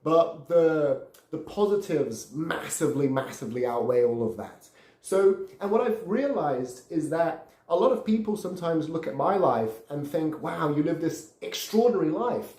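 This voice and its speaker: A man's voice: fairly high pitch, dark tone, roomy sound. A man in his thirties from Brazil, hosting a podcast and telling his life story in an earnest, casual way, often repeating words as he searches for what to say. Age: 30s. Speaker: podcast host.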